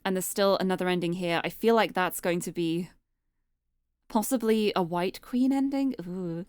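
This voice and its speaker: A young woman streamer chatting casually and cheerfully, her voice getting a little hoarse and tired. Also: mid-range voice, a little mellow, dry recording, studio quality. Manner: bubbly, chatty, restarts words often. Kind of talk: casual live-stream chat. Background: background game music.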